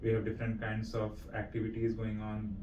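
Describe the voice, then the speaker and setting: Indian-accented man interviewing, echoey and even-toned. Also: lowish voice, a little fast, muffled recording. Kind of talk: conversational interview questioning. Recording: roomy echo, distant mic, faint noise.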